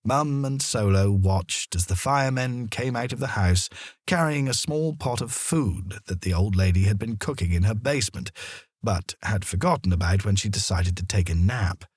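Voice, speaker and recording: A man narrating a children's story in a dramatic, expressive manner. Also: lowish voice, normal speed, husky, no room sound, studio quality.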